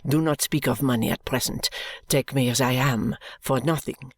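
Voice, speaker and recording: A woman voicing an intense, proud French lady's maid with a French accent, speaking in a low, earnest, subdued way. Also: normal speed, no room sound, studio quality. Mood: earnest pleading.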